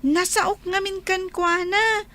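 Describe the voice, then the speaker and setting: A woman's voice: extremely high pitch, steady tone, slow pace, pushed and bright, heavily acted. A woman playing a small, innocent and curious granddaughter in an Ilocano audio drama. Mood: timid, pleading. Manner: childlike, inquisitive.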